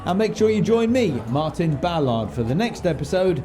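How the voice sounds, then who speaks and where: A man's voice: a little high, sing-song, moderate pace, dark tone. A man presenting a podcast as its host, reading scripted announcements and links. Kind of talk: scripted presenting and backstage interviewing.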